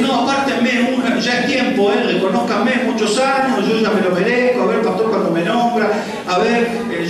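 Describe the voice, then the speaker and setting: A man preaching a fiery sermon, firing rhetorical questions and repeating lines for emphasis. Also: high voice. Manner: rhetorical questions, shouting and repetition. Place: large church hall with congregation. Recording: echoey hall with distant mic and crowd.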